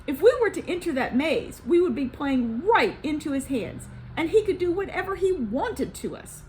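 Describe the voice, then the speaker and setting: A woman's voice: sits high, sing-song, normal speed, a little mellow, slight background noise, voice pushed up. A woman voicing a glum male militia advisor in a storybook, emphatic and grave. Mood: glum warning.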